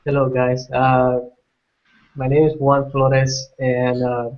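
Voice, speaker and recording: A man's voice: mid-range voice, completely flat delivery. A young man from Colombia, telling his own story on a panel and restarting his sentences often. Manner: halting, many restarts and fillers. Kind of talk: personal story on a webinar. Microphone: video call. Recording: dull lo-fi video call audio.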